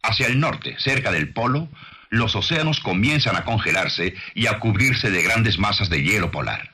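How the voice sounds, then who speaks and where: Deep-voiced man narrating, lively and bright. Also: husky. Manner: didactic, deliberate, rhetorical questioning. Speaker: documentary narrator and preacher. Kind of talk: scripted narration with sermon-like delivery.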